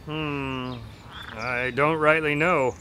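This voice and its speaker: A man's voice: a little high, fairly even intonation, drawn-out words, pushed and bright, slight background noise, heavily acted. A man playing an affable doctor, a detective's sidekick who recounts cases politely in a radio drama. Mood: mildly exasperated. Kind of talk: radio drama acting and storytelling.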